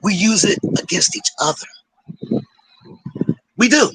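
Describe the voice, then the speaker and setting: A hoarse man speaking as a panelist in a heated debate, preachy and often asking "you understand?". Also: sits high, lively intonation, measured pace, fairly bright tone, dry recording, rough recording.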